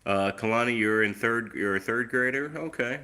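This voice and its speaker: A man's voice: medium pitch, moderate pace, bright, forceful tone. A man hosting a casual live stream on his phone, chatty and easygoing, with a lingering cough that leaves his voice a little off. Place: outdoors, then indoors at home.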